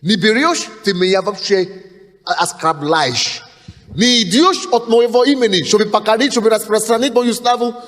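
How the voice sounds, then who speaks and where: A man voicing God within his sermon, scolding and accusing his listeners in a lower, quieter tone. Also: normal speed. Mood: stern rebuke. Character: stern rebuking male God. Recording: long hall reverb.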